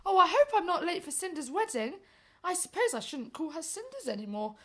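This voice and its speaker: A woman's voice: very high-pitched, big pitch swings, fairly quick, a bit bright, pitched above the performer's own voice, full character voice. A woman voicing an eager, wistful young male servant, chattering away in a pantomime radio play. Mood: wistful, excited.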